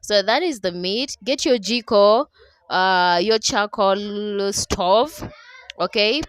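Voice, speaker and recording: A woman's voice: medium pitch, slow, clear, resonant tone, dry recording. Chatty Kenyan woman with a dry voice-over. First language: Dholuo. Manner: chatty, laughing, keeps asking "okay?". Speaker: cooking vlogger. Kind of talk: casual cooking voice-over. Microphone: voice-over mic.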